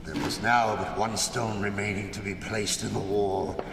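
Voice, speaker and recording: In a noisy, echoing hall, an actor plays a haunted, vengeful older man telling of his cruel deed. He speaks slowly and theatrically, some distance from the mic, in gothic radio drama fashion. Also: lowish voice, steady tone, natural voice. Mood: grim, suspenseful. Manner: slow, deliberate, dramatic delivery. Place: live stage before an audience in a large hall.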